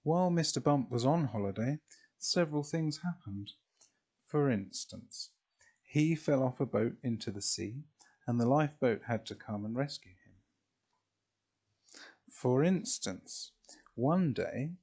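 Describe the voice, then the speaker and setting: A man reading a children's storybook expressively, in a deliberate way with drawn-out phrases. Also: medium pitch, lively intonation, mellow, slightly rough.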